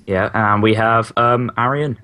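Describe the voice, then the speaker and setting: A man's voice: lowish voice, fairly even intonation, unhurried, sharp tone, smooth. A man hosting a podcast in casual, teasing group banter over a voice call. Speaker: podcast host. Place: remote voice call.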